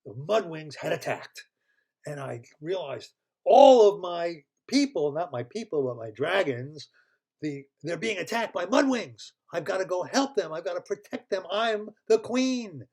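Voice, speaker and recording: A man's voice: high voice, very animated, measured pace, darkish tone, exaggerated voice. A man voicing a distraught female ice dragon queen who pleads through her sobs. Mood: frantic. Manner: sobbing, pleading.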